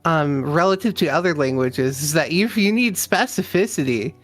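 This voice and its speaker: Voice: medium pitch, lively intonation, soft background music. A guest on a stream chatting casually, joking around and laughing often. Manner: slow, drawn-out, joking.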